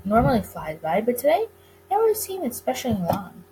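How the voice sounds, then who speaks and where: Female game narrator, higher voice, expressive story reading, noticeable background noise. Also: brisk. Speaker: gaming video creator. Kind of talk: reading a visual novel aloud, dramatic narration.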